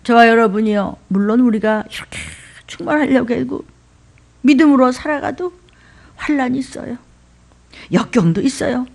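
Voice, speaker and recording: A middle-aged woman pastor with a Seoul accent, preaching a sermon in an emphatic, rhythmic way, dropping to whispers and rising to shouts. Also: on the high side, normal speed, vocal fry, pauses often. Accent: Seoul dialect.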